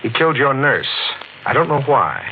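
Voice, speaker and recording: An old, noisy, narrow-band radio recording carries a sinister male killer. He is deliberate and mock-polite, taunting his prey with swooping, lively intonation in 1940s mystery style. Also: mid-range voice. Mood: insistent, menacing.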